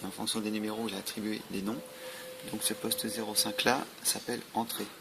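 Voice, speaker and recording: A man's voice: lowish voice, flat delivery, a little fast, vocal fry. A man giving an informal, matter-of-fact, step-by-step product demonstration. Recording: noisy amateur recording with clicks.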